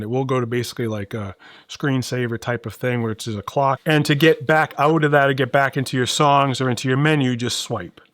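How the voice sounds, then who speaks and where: A man's voice: medium pitch, fairly bright tone, no echo. Middle-aged man, casual reviewer, dry close sound. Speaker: gadget reviewer and host. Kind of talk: casual conversational product review.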